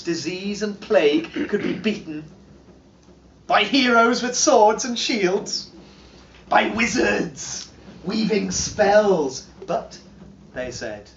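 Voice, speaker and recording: Man, storyteller, slow chanting recitation, roaring calls and hushed murmurs, roomy dull far-off recording, drumming behind. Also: sits high, a little hiss or hum. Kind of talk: dramatic recitation of an old charm. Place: heritage site, live performance room.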